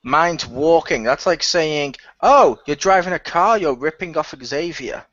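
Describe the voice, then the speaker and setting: A man's voice: on the high side, lively intonation, unhurried, a bit bright, no room sound. A man, a cast member of a radio play, joking crudely and laughing in a casual online group chat over muffled audio.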